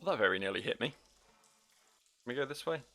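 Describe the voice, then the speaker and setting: A man's voice: medium pitch, animated, normal speed, gravelly, a bit bright, soft background music. A man giving joking, sweary, rambling commentary as he plays through a game. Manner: rambling, dryly silly. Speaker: gaming video host.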